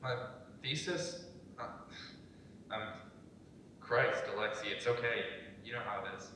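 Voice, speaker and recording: A man's voice: measured pace, bright, forceful tone. Man playing a weary young student, very echoey. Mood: weary, downcast. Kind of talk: staged dramatic reading. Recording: echoey room, far from mic, rumbly noise.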